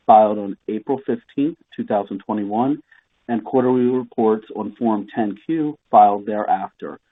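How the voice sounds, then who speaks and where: A man reading formal, scripted corporate statements as the moderator of an earnings call over a conference phone line. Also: fairly low pitch.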